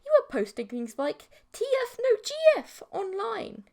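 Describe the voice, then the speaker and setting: Squeaky, sugary alien girlfriend. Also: very animated, unhurried, vocal fry, darkish tone, full character voice. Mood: sweetly insistent. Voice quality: sugary sweet. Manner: cutesy, coaxing. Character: eerie insect-like alien girlfriend, youthful, clingy, sickly sweet. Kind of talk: audiobook character voice.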